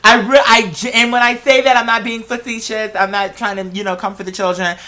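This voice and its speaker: A bright, forward voice with an American accent and a medium pitch. The host talks in a casual, animated way, full of slang and swearing, rambling through opinions with plenty of emphasis. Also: a little fast. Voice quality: slangy speech peppered with "girl" and swearing. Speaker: host of a talk show vlog. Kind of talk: casual opinionated solo chat.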